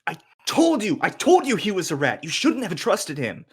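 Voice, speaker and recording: An excitable, goofy teenage boy acted by a man at a script table read. His slangy, enthusiastic delivery swings widely in pitch. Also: moderate pace, breathy edge, full character voice. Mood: indignant. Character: excitable, laid-back teenage boy, loyal goofy best friend.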